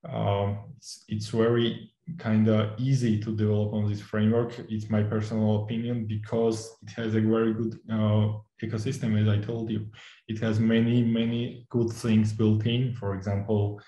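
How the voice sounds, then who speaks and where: A young man with a Georgian accent speaks in a low, mellow voice. He stops and restarts often and fills gaps with "uh", and the room around him is echoey. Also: monotone, normal speed. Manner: hesitant, many fillers and restarts, laughs often. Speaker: instructor. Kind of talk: interview answers.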